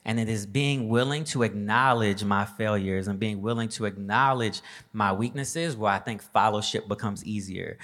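Casting: low-pitched male business leader in his thirties, reflective, building to emphatic points. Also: moderate pace, fairly bright tone. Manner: reflective, preacher-like emphasis, conversational. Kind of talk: live panel discussion.

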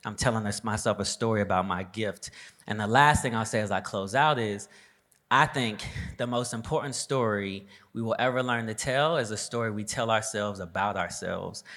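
A man's voice: lowish voice, moderate pace, a bit bright. A man in his thirties speaking as a panelist, reflective and emphatic in a conversational way. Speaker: panelist, business leader. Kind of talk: live panel discussion.

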